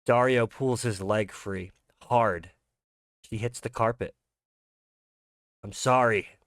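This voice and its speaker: Male horror narrator, lowish pitch, dry sound, scripted scene-setting delivery. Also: slow, no room sound. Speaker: narrator and creator.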